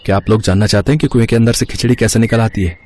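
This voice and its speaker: A man's voice: fairly low pitch, fairly even intonation, racing, a little mellow, dry recording, lightly acted. A man voicing a poor, earnest young village son in a moral story cartoon, pleading yet determined. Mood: confident.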